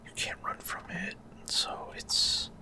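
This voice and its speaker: A man doing ASMR gameplay commentary in a rambling, hesitant way, often trailing off mid-thought. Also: takes it slowly. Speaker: gaming ASMR creator.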